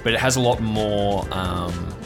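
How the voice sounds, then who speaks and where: Low-voiced man narrating over music. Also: a little slow. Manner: informal, conversational descriptions to the players. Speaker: game master. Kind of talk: improvised narration of an audio drama game.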